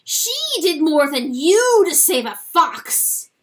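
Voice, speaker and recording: A woman voicing an anguished, high-pitched rooster lord. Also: very animated, slow, a bit bright, full character voice. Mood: bitter anguish. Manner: impassioned, crying out in anguish. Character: anguished proud male rooster lord, tormented and grieving.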